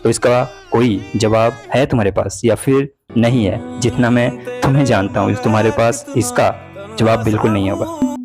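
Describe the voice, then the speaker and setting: A man's voice: lowish voice, fairly even intonation, moderate pace, darkish tone, natural voice. A young man playing a hurt, jealous boyfriend, reproachful and pleading in a dramatized breakup talk. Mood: cold, accusing. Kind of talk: dramatized breakup phone conversation in Hindi. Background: background music.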